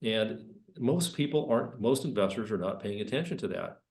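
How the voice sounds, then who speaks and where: A man's voice: fairly low pitch, animated, normal speed, darkish tone, roomy sound. A man with a cold giving conversational market commentary as presenter, talking in loose, rambling sentences. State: has a cold. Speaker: investment presenter.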